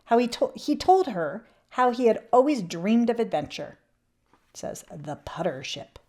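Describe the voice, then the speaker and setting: Woman, storybook read-aloud, very lively intonation, expressive, emphatic swells and hushed drops. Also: a little high, a little slow. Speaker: children's read-aloud channel host.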